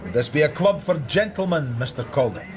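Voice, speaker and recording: An actor playing a tired, dogged police inspector with a Scottish accent, dry and probing, in a radio drama. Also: male voice, a little high, very animated, fairly quick, over music. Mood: probing, sardonic.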